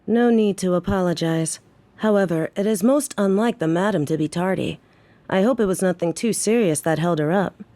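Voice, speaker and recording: Woman acting female crime boss, lowish voice, dry recording, menacing, impatient, protective, theatrical audio drama. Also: moderate pace, dark tone, no room sound, lightly acted. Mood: polite, composed. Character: menacing, protective female mafia boss, short-tempered but devoted. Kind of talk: scripted audio roleplay acting.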